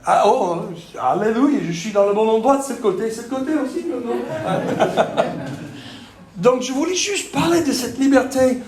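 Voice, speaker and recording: A man from Texas with a Texan accent, speaking French as a second language, preaching a sermon with jokes and halting fillers. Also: extremely high pitch, a little mellow. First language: English. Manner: joking, self-deprecating, halting with fillers. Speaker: pastor. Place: church hall. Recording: echoey church hall.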